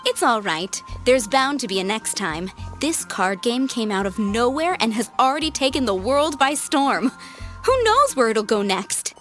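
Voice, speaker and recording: A poised tavern owner with a fairly high adult voice. She talks in a gently teasing, self-assured way, in game dialogue over faint music. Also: big pitch swings, measured pace. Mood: reassuring. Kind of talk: video game character dialogue.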